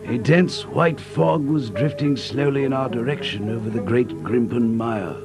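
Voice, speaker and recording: An actor playing an earnest gentleman companion who narrates a mystery in an audio drama, grave and suspenseful. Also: mid-range voice, moderate pace, close to an everyday voice. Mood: ominous suspense. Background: music.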